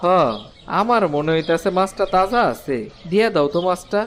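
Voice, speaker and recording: A man's voice: sits high, moderate pace, slight background noise, natural voice. A man voicing a kindly woodcutter shopkeeper. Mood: friendly. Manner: earnest, folksy and plain-spoken. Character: humble kind-hearted male woodcutter shopkeeper. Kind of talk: cartoon dubbing. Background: background music.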